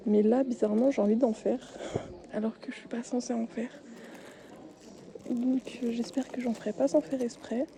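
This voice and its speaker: A young woman speaking softly and often whispering while tidying shelves in a supermarket aisle, with frequent hesitations. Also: a little high, steady tone, normal speed, vocal fry, long pauses, a crowd in the background. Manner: soft-spoken, drifting into whispers, many hesitations. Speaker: ASMR creator. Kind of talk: soft-spoken ASMR while tidying shelves. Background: faint store ambience.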